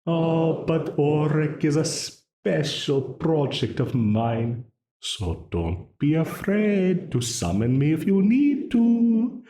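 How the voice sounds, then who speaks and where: A man voicing a mocking male archdemon in an audio roleplay. He speaks with a smooth, teasing, leisurely menace and chuckles often. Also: fairly high pitch, big pitch swings, warm tone. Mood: amused menace. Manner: slow, leisurely menace broken by frequent chuckles. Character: mocking, amused male archdemon binding a ruler to a contract. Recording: roomy echo.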